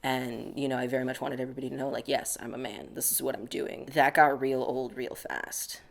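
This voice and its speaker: A man's voice: mid-range voice, steady tone, brisk, gravelly. A young man from the United States, answering interview questions in a reflective, casual way, often saying "like". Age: young adult. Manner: reflective, many filler "like"s.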